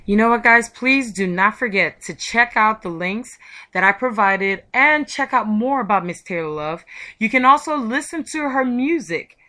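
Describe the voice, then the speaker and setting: Female interview host, bright and punchy, effusive promotional chat. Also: on the high side, expressive, normal speed. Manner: effusive, gushing. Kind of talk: chatty promotional interview hosting.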